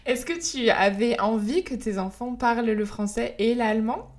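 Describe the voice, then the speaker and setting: A woman's voice: fairly high pitch, moderate pace. A woman, hosting a podcast and asking questions in a casual, conversational style. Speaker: podcast host, interviewer.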